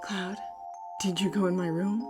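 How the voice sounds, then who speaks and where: A woman's voice: lowish voice, fairly even intonation, moderate pace, over music, close to an everyday voice. Woman playing a flustered, feisty young woman. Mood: indignant. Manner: defensive, easily flustered.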